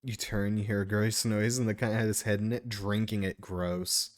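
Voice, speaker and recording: A man's voice: lowish voice, little pitch movement, normal speed. A man doing casual live-stream game commentary, irreverent, sweary and easily distracted. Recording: faint background noise.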